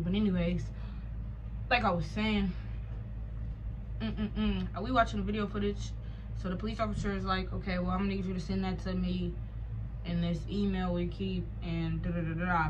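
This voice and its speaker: A young American woman telling a personal story in a casual, slangy, animated way with sarcastic asides, recorded with noise around her. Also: on the low side, lo-fi sound.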